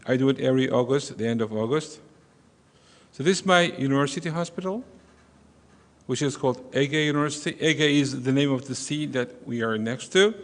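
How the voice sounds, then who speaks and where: A middle-aged man from Turkey with a Turkish accent, giving a measured, explanatory conference lecture as a surgeon. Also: medium pitch, fairly bright tone. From western Turkey. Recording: long reverb of a conference hall.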